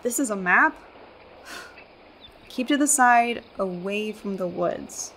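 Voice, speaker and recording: A woman's voice: mid-range voice, animated, slow, a bit bright, slight background noise. A woman playing a young American tourist in a radio play, earnest, wry and self-deprecating, with an American accent. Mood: sheepish, wry. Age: young adult.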